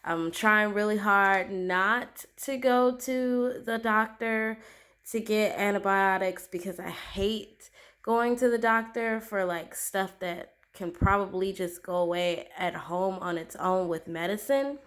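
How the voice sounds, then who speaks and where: A woman talks slowly and unhurriedly, her voice a little congested from a lingering head cold. Her throat is sore, so she clears it often as she chats loosely, with plenty of ums and likes. She is recorded at a home desk by a camera pointed down at the tabletop. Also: mid-range voice, a bit bright. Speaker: planner vlogger. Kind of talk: casual product comparison. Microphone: camera aimed down at the desk, speaker off frame.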